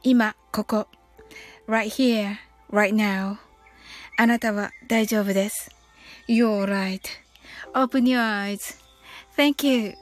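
A woman's voice: medium pitch, steady tone, drawn-out words, pauses often, faint music behind. A woman hosting an online live stream, speaking in an informal, personal style as she addresses her listeners. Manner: chatty, reading out listener comments. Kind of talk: casual live stream chat and bilingual guided meditation.

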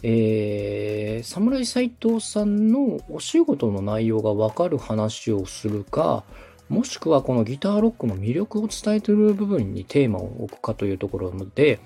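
A man's voice: mid-range voice, sing-song, measured pace, creaky, warm tone, breathy edge, faint music behind. A man from Osaka hosting a podcast consultation, giving polite, methodical, structured advice and explanations. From Osaka, Japan. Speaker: host, podcast producer coaching a client.

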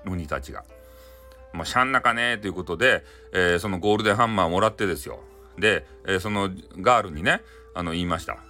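Lively Hakata-accented man telling a folktale. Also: low voice, quick pace, soft background music. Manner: playful, adds many sound effects. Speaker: storyteller. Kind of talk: comic improvised folktale retelling in dialect.

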